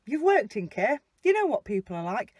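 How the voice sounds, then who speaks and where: A young woman telling a story in a casual, animated, sweary way while sitting in a car and filming on a dashboard phone. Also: fairly high pitch, normal speed, no room sound, studio quality. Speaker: vlogger. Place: inside a car. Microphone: phone camera mounted on the dashboard.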